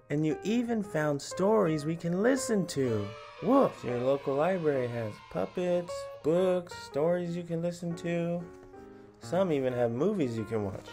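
A man in his thirties hosting a picture-book read-along for young children, animated and chatty, often putting questions to his listeners. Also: fairly high pitch. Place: small indoor room at home.

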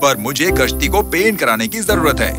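Cartoon rich businessman: high, very animated, theatrical and generous, music underneath. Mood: hearty. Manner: theatrical, drawn-out exclamations. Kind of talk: animated children's fairy tale dubbing.

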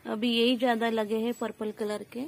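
A woman's voice: fairly high pitch, little pitch movement, moderate pace, a little hiss or hum. A woman vlogging outdoors on her terrace garden, filming with a phone and showing viewers her harvest in a casual, friendly walkthrough. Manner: addresses viewers as friends, points things out. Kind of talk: casual show-and-tell walkthrough. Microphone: phone camera held while filming.

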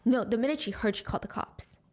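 A young woman narrating a true crime case into a studio mic at home, animated and acting out the quotes. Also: a little high, fast, over a noisy crowd. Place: small room at home, indoors. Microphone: studio mic on boom arm.

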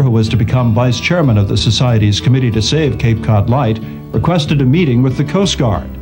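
A man reading scripted narration as a documentary narrator. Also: medium pitch.

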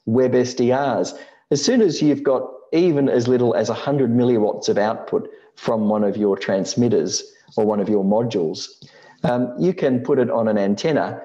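A middle-aged man giving an explanatory slide talk in a measured, matter-of-fact way, on a dull lo-fi microphone. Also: on the low side, dark tone. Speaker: presenter of an electronics building tutorial.

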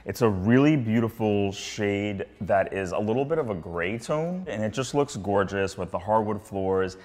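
A man's voice: lowish voice, lively intonation, normal speed, a little mellow. A man in his thirties presenting a casual walkthrough of a home as its host, recorded indoors in an apartment. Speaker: house tour host, renovator.

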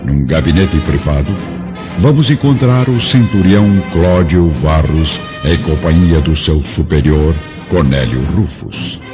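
A man's voice: rumbling low, lively intonation, unhurried. A man with a Brazilian accent giving scripted dramatic narration for an audiobook. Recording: muffled audio under loud music.

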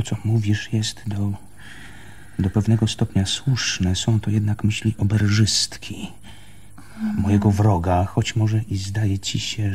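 An actor playing a stubborn, defensive man in a radio play, arguing haltingly and insistently with his lover in a husky voice. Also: lowish voice, a little mellow, a little hiss or hum. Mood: measured, persuading. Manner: halting, insistent, repeats phrases. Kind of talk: Polish radio play acting.

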